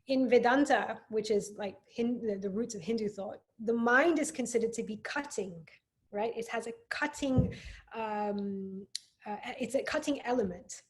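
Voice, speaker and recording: A woman podcast guest speaking in a thoughtful, articulate way during a reflective conversation. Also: on the high side. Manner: thoughtful, articulate, with frequent agreeing interjections.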